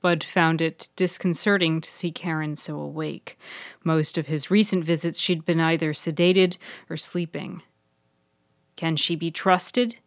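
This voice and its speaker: A woman's voice: deep voice, fairly even intonation, normal speed, no room sound, studio quality. A woman reading a scripted serial story as its author and narrator.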